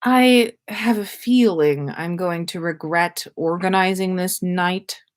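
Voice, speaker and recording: A woman's voice: on the low side, slow pace. A woman voicing a sober, sensible young man in a story, emphatic and a little grumbling. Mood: exasperated, reluctant.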